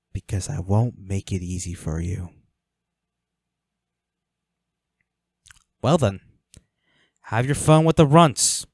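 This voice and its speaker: A smug, flirtatious villain henchman, acted by a man for a roleplay audio drama. His voice sits fairly low and is recorded close and dry. He teases his captive with cool, unhurried control. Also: dry recording. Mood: amused, commanding. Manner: teasing, unhurried, coolly controlling.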